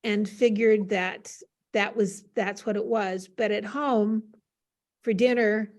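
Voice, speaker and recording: A woman's voice: mid-range voice, steady tone, slow pace. Older female panel guest, professor type; chatty, self-deprecating storyteller on a video call. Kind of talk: casual anecdotal storytelling. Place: classroom.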